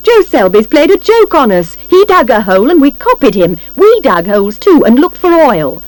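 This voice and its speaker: A rueful village man put on by a woman storyteller declaims with drawn-out emphasis. His pitch moves up and down a great deal, in a dry storybook reading. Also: very animated, no room sound.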